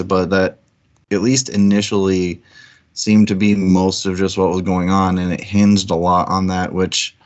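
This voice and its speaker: A man's voice: lowish voice, highs cut off. A man co-hosting a book discussion stream, talking informally and thinking aloud as he goes. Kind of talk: casual discussion with some read-out intro.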